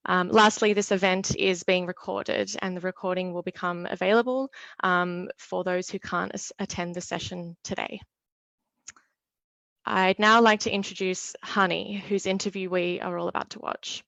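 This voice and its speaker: Creaky-voiced woman hosting an event. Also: lowish voice, fairly even intonation, moderate pace, fairly bright tone, no echo. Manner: formal, read with "um" and "uh" fillers. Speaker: event host, solicitor. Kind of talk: scripted event introduction.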